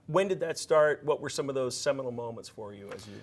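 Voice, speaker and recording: Man, interviewer, mid-pitched voice, chatty, self-deprecating, stumbling starts. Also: lively intonation, quick pace. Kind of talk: casual interview questions.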